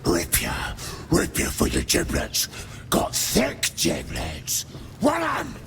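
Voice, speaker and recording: An actor playing a menacing, gleefully violent goblin brute in a video game, with a growling, gravelly voice. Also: male voice, low-pitched, huge pitch swings, slow pace, a bit bright, shouting, slight background noise, exaggerated voice. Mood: bloodthirsty. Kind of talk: video game character acting.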